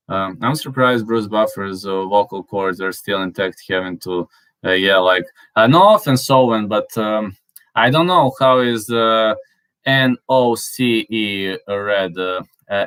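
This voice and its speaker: Halting man, English his third language. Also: on the low side, a bit bright, clear, resonant tone, low-quality recording. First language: Serbian. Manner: halting, with many fillers and restarts; laughs often, spells out words he can't pronounce. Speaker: channel host. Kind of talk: casual live chat, reading viewer comments.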